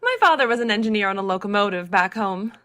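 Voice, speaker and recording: An actress playing a cheerful, flirtatious secretary who is secretly a Soviet agent. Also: female voice, mid-range voice, lively intonation, quick pace, fairly bright tone, close to an everyday voice.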